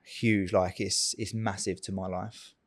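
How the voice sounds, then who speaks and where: Low-voiced young man with London accent. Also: little pitch movement, a little slow, no room sound, clean studio sound. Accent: Essex/London English. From England. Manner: earnest, repeats words for emphasis. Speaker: guest. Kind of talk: casual chat.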